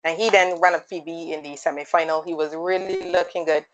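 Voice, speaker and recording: A woman's voice: sits low, fairly quick, muffled recording. Laughing woman telling track stories. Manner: animated storyteller, laughs often. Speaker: panel guest. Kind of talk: casual sports panel chat.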